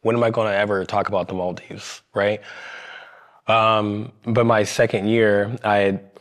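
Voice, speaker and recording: Low-voiced young man telling a story. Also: little pitch movement, fairly bright tone. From Ohio. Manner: halting, many restarts and fillers. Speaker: podcast guest. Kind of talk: candid storytelling. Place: studio set with curtains.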